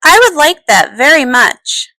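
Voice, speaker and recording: Excitable cartoon mare, woman acting, perky, wildly animated pitch, dry close sound. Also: measured pace, no room sound, full character voice, low-quality recording. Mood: excited, eager. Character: perky, eager young female pony. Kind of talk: comic dub cartoon acting.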